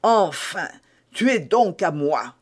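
A man's voice: high-pitched, very animated, slow pace, slightly rough, exaggerated voice. A man reading aloud and voicing a scheming imprisoned noblewoman whose begging turns to sly, calculating asides. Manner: breathless impassioned pleading, swinging to sly asides.

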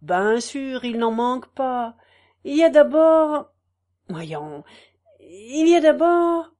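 A woman's voice: fairly high pitch, measured pace, darkish tone. A woman voicing a talkative middle-aged peasant farm wife with emphatic, rustic country speech. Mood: searching.

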